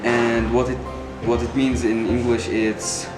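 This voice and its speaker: A man whose first language is Arabic, answering an interview in a halting, thoughtful way. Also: mid-range voice, level tone. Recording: roomy sound with music over it.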